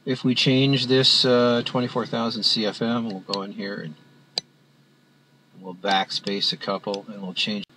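A man walking through a spreadsheet on screen as an instructor, talking in a halting way with many fillers and restarts. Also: lowish voice, little pitch movement, noisy background. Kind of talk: screen-recorded software walkthrough.

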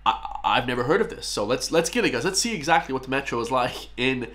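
A man's voice: medium pitch, animated, fast talker. A young Irish man chatting casually in a small room as he reacts to a video, often calling out to "guys". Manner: chatty, keeps addressing "guys". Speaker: YouTube reactor.

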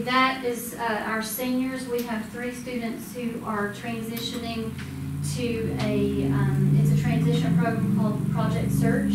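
A middle-aged woman giving a casual, um-filled report to a school board. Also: a little high. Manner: conversational, with many ums. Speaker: school department presenter. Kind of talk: informal report at a public meeting. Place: school board meeting room. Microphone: distant room mic, standing speaker. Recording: echoey room from far off, noisy.

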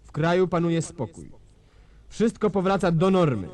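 An actor playing a bombastic dictator general, delivering pompous, declamatory political oratory in a theatrical style. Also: male voice, fairly high pitch, sing-song, moderate pace, darkish tone. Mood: fervent, bombastic. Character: bombastic male dictator general rallying his nation.

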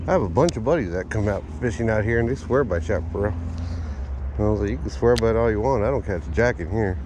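A man giving casual running commentary while fishing at a park pond, talking to an action camera and getting excited over his catches. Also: on the low side, quick pace. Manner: easygoing, talks to himself and the camera, excited by catches. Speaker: fishing vlogger. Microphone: chest- or head-mounted action camera. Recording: faint outdoor noise.

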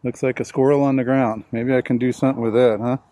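A man's voice: medium pitch, level tone, fast, mellow, no room sound. A man in his sixties talking casually and plainly to camera outdoors, with a self-deprecating manner, as an amateur filming himself. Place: outdoors in a suburban front yard. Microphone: camera mic, at varying distance. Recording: clear outdoor ambient noise.

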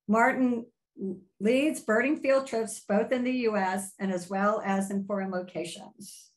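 An older woman hosting a video call, reading out a measured introduction of a guest speaker. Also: mid-range voice, rough recording. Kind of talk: read-out introduction.

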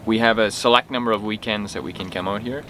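A young man co-hosting a sailing vlog, calmly explaining things to camera with dry little quips. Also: on the low side, fast, slight background noise. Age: young adult. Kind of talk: casual chat to camera. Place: outdoors in a sailboat cockpit at anchor.

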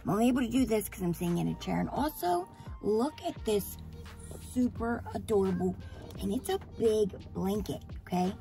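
Hoarse, gravelly chatty woman vlogger. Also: medium pitch, lively intonation, moderate pace, mellow, faint music behind. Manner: chatty, rambling, gushing. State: hoarse after surgery. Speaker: beauty vlogger. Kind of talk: casual chat to camera.

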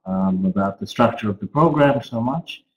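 A middle-aged man gives an informal academic presentation as a finance professor, speaking haltingly with frequent pauses. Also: fairly low pitch, expressive. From Australia. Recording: roomy, echoey webcam audio.

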